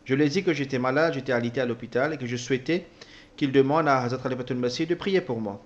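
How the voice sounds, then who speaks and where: A man interpreting a personal testimony, speaking haltingly with repeated words and self-corrections. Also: medium pitch, highs cut off. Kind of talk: spoken translation of a personal testimony.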